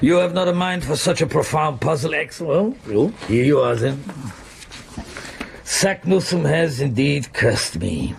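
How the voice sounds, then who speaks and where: A pompous older German professor in a radio play, with a creaky, swooping voice. He is irascible and scornful, his German accent blustering through every exclamation. There is faint noise behind him. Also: fairly high pitch, lively intonation, a little slow. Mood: absorbed, gruff. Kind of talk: radio drama acting. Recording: faint background noise, 1990s radio broadcast.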